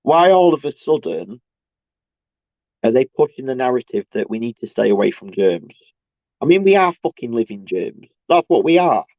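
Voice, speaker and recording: A man with a croaky, creaking voice worn by a cold and tiredness talks off the cuff to his viewers. His tone is mellow and dark, and he often stumbles, repeats himself and swears as he rants. The sound is dull and lo-fi, with little room around it. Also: mid-range voice, very animated, no echo. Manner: halting, repetitive, searching for words. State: sore throat from a cold. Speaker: solo video host. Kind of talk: casual rambling monologue to camera.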